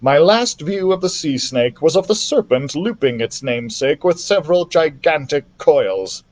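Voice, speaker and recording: A man reading a children's adventure story aloud as a library storyteller, in a theatrical, expressive manner. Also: a little high, normal speed.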